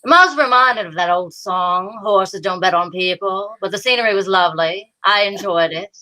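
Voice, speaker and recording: A woman's voice: mid-range voice, moderate pace, pushed and bright, pitched below the performer's own voice, natural voice, poor audio. A woman playing a genteel, fussy detective's sidekick with an arch manner in an amateur old-time radio play. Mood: arch, pleased.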